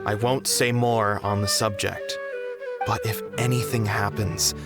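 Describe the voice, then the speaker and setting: A man playing a kindly, worried, protective theater manager in a game cutscene, measured and full of concern. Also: lowish voice, steady tone. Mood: worried, caring. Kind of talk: video game cutscene acting. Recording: loud music over the voice.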